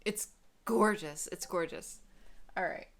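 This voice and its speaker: A woman book vlogger chatting casually to camera in an expressive, emphatic way. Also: lowish voice, slow.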